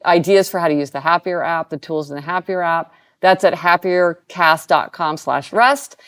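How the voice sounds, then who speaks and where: A woman's voice: lowish voice, moderate pace, fairly bright tone, clean tone. A middle-aged woman co-hosting a podcast in casual chat, upbeat and prone to exclaiming as she reads out links and resources. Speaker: podcast co-host.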